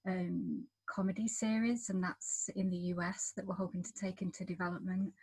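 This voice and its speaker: A woman's voice: on the low side, breathy edge, dry recording, rough recording. A woman screenwriter on a panel, giving a casual, chatty introduction to herself at an online event.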